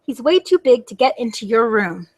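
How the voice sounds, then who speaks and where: A woman's voice: a little high, sing-song, moderate pace, no room sound. A woman reading the part of a firm, sensible girl in a children's storybook. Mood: firm warning. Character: Emma, firm, sensible girl setting rules. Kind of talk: storybook read-aloud.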